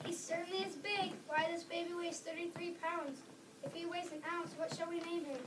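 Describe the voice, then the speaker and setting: A woman's voice: very high-pitched, fairly even intonation, normal speed. A woman reading the part of a folksy, skeptical man in a reader's theater script. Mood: amused surprise. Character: folksy, skeptical male father and tunnel captain. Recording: distant mic with clear background noise.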